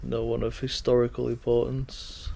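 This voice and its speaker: A man with a gravelly, creaky voice wanders an outdoor churchyard, reading old gravestones aloud and thinking out loud. He's losing his voice, and his talk is loose and musing, at a middling pitch. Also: monotone, moderate pace, a little hiss or hum. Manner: musing, reads gravestones aloud, talks to himself. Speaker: vlogger touring a graveyard. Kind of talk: casual walking commentary.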